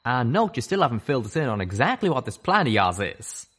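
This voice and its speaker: A man's voice: mid-range voice, big pitch swings, quick pace, fairly bright tone, husky, pitched above the performer's own voice. A man voicing a male pegasus pony friend in a storybook reading, blunt and grumbling. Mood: grousing.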